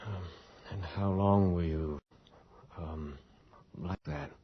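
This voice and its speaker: An actor playing an earnest, persistent investigator in a radio horror drama, educated and polite, with hesitant, articulate questioning. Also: male voice, low voice, steady tone, slow pace, breathy edge, exaggerated voice. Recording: dull lo-fi radio recording.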